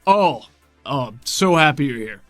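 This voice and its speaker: Manic middle-aged dad running a restaurant, played by a man; excitable, halting, affectionate tabletop game character over music. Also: mid-range voice, no room sound. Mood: excited, affectionate. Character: Clint, lanky manic middle-aged restaurant owner and doting father.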